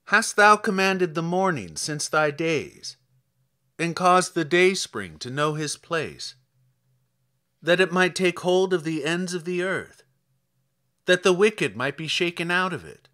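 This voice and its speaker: A man's voice: a little high, animated, slow, bright, forceful tone, heavily acted. A man reading scripture as a stern, commanding God, declaiming solemn rhetorical challenges in archaic English.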